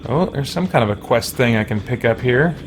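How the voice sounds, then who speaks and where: A man's voice: mid-range voice, animated, brisk, slight background noise. A man giving casual gameplay commentary as a let's play host, thinking aloud as he goes. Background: game music.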